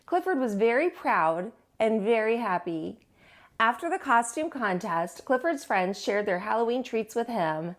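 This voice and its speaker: A woman hosting storytime, reading aloud to young children with an expressive, teacherly delivery. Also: fairly high pitch, measured pace.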